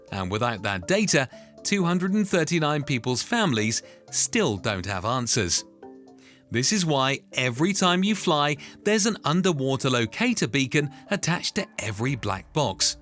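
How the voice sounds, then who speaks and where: A man delivers scripted explainer narration with dramatic emphasis and a lively, swinging intonation. His voice sits fairly high, and he builds suspense as he goes, with music underneath.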